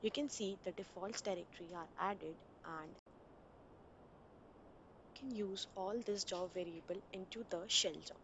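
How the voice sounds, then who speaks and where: A woman with a fairly low voice narrates a software tutorial, reading each step in a steady instructional way. She keeps a measured delivery and makes small grammar slips. A faint low rumble sits under her voice. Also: poor audio. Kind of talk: read screen-recording walkthrough. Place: voice-over for a screen recording.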